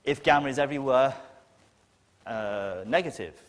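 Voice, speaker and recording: A man lecturing on technical material, explaining step by step in a halting way with emphasis on key words. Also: mid-range voice.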